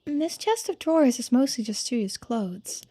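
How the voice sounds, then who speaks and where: Casting: female performer for a thoughtful young game character, soft-spoken and polite, high pitch, gentle dark-toned delivery. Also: lively intonation, measured pace, soft background music. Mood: musing. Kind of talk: voiced game dialogue and narration.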